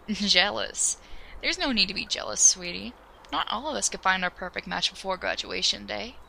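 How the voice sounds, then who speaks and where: A woman's voice: medium pitch, animated, moderate pace, creaky, sharp tone, a little hiss or hum, heavily acted, poor audio. A woman acting a confident, teasing, supportive teenage schoolgirl in an amateur audio drama, wry and reassuring.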